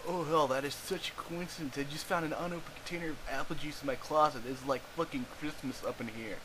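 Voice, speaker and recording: A man's voice: a little high, steady tone, fast talker, close to an everyday voice. A man voicing a teasing, foul-mouthed, cool teenage boy in a webcomic chat, speaking in casual slang. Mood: casual disbelief. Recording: clearly noisy amateur home recording.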